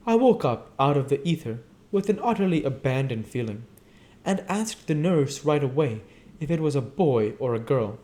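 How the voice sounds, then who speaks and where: A man's voice: mid-range voice, sing-song, moderate pace, dark tone, pitched above the performer's own voice, lightly acted. A man voicing a jaded young society wife from a novel, with a breezy, dramatic, affected manner.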